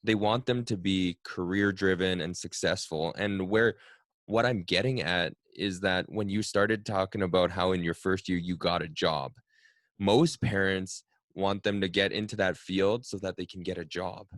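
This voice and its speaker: Brief: male host, 30s, Cantonese native, very low voice, dry close sound, musing and chatty. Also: steady tone, moderate pace, no echo. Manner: reflective, frequent "right?" tag questions. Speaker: podcast host. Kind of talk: casual conversational interview.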